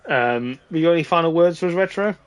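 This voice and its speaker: A man with a slightly hoarse voice giving casual, informal live commentary on a sim race. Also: fairly high pitch.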